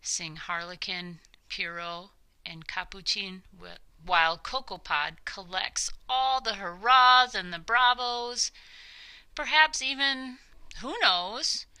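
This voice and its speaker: A woman narrating a children's story expressively, with theatrical, deliberate phrasing. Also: medium pitch, bright, forceful tone, clear, resonant tone.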